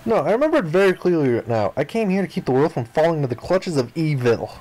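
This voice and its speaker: A man voicing a flippant, grandiose young amnesiac castaway, reading visual novel dialogue aloud in an expressive way. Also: fairly high pitch, fast, poor audio. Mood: earnest, grandiose. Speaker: video game player reading aloud. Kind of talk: dramatic read-aloud of visual novel text.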